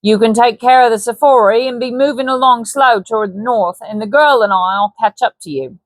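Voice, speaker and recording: A scheming Cockney hunter and trader, voiced by a woman reading aloud, coaxing and ungrammatical in his talk. He sounds bold and a touch high. The voice sits close and dry, with no room around it. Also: moderate pace, dry recording, close to an everyday voice. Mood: wheedling. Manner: sly, persuasive, ungrammatical.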